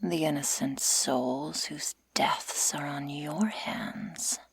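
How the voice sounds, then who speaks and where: A woman acts out a menacing female werewolf captor in a whispered ASMR roleplay. Her voice is lowish, very breathy and husky, in a dry close-up recording. She speaks with slow, taunting deliberation and mock tenderness. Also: fairly even intonation, no echo, heavily acted. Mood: cold, menacing. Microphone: close ASMR microphone.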